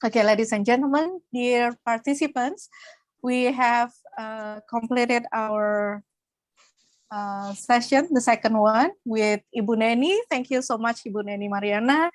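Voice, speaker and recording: A woman's voice: on the high side, unhurried, dry recording. Polite female webinar moderator, dry sound. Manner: polite, formal, with fillers. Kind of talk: formal closing remarks.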